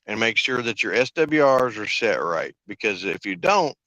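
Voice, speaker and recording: A man's voice: on the low side, very animated, moderate pace, bright, forceful tone, no room sound, lo-fi sound. A man, a truck driver, chatting on a live show and telling a story about getting his radio repaired, giving emphatic advice to viewers. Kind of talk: casual chat, personal anecdote.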